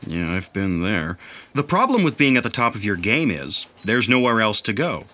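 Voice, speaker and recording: A man plays a wry, world-weary narrator in a comic radio play. His voice is fairly low with a bright, forceful edge. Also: very animated, normal speed. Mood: wry, matter-of-fact. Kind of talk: comic scripted audio theatre narration.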